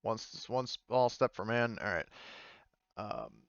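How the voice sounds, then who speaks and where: A man's voice: fairly low pitch, a bit bright, no echo, highs cut off. A man speaking casually as game master of an online tabletop game, hesitant and often correcting himself as he narrates. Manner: hesitant, halting, self-correcting. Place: online voice call.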